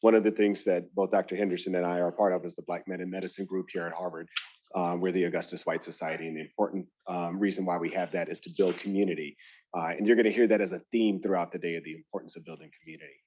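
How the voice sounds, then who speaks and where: A man's voice: low-pitched, steady tone, fast, darkish tone, highs cut off. A man hosting an event and introducing speakers, hesitant with many fillers. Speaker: event host.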